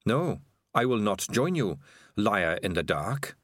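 A small, brave blind rat, male and earnest, as a man reads him in an audiobook. He speaks calmly and gently, very slowly, with a lively rise and fall. The sound is dry and close. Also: lowish voice, no room sound, pitched below the performer's own voice, exaggerated voice. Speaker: audiobook reader. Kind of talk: audiobook character voice.

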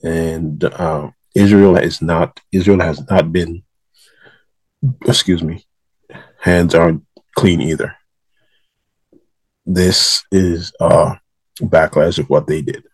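Male panelist, low husky voice, casual and halting, hunting for his words. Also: steady tone, dry recording, rough recording. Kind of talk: casual political discussion.

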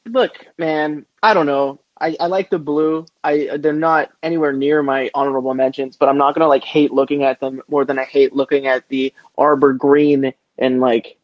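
A man's voice: fairly high pitch, steady tone, normal speed, few pauses, dry recording. A man co-hosting a casual sports podcast with slangy, rambling, opinionated banter. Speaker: podcast co-host. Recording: dull lo-fi close podcast audio.

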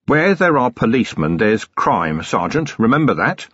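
A man voicing a gruff, weary, middle-aged city police commander, sardonic and full of complaint, for an audiobook. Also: mid-range voice, big pitch swings, moderate pace, fairly bright tone, no echo, dull audio. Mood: gruff, aggrieved.

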